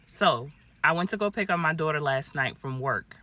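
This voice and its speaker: A man with a fairly high, bright, sharp voice talks casually and straight to his viewers, explaining an idea before he starts a story. He is recorded from some way off the mic, with faint noise in the background. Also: lively intonation, normal speed, recorded from a distance.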